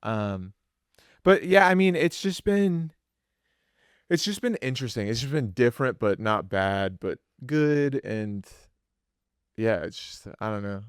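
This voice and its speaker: A man's voice: mid-range voice, animated, measured pace, smooth, dry recording, studio quality. A young man, a musician co-hosting a podcast, chatting casually and rambling with lots of filler words. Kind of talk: casual chat.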